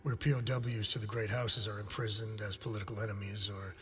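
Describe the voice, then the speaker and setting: Measured, low-voiced military intelligence officer. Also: male voice, level tone, sharp tone, big-room sound, a little hiss or hum. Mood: measured, informative. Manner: formal, explanatory. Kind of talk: game cutscene dialogue.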